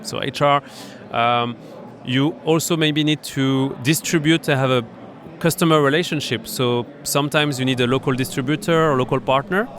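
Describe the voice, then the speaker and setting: A man with a French accent, a startup accelerator founder being interviewed at a startup event, explaining his business in a halting way full of fillers and restarts. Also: mid-range voice, fairly bright tone. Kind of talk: conversational interview.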